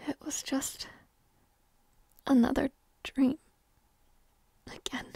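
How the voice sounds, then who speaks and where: A woman voicing a shy, flustered young princess who talks softly and hesitantly, often in a whisper and with stammers. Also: sits high, level tone, slow, creaky, no room sound, full character voice. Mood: drowsy, wistful. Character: shy, flustered young princess waking beside her knight. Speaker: audio roleplay performer. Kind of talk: intimate audio roleplay.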